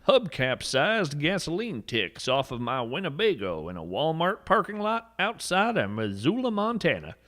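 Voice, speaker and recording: Casting: male actor as an eccentric naturalist host, higher-pitched, widely swooping delivery, folksy and grandly dramatic storytelling. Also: a bit bright. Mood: mysterious relish. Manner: folksy, dramatic, earnest with tongue-in-cheek grandeur. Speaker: podcast host. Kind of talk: theatrical scripted storytelling narration.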